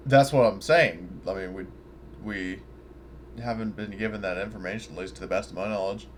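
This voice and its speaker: A man's voice: fairly low pitch, brisk, a crowd in the background. A man talking casually as a player in a tabletop game.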